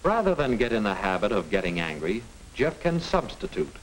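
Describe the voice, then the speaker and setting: A middle-aged American man reading scripted narration as a lecturer, didactic and deliberate. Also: lowish voice, very animated, low-quality recording. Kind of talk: scripted educational film narration. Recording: hissy 1950 film soundtrack.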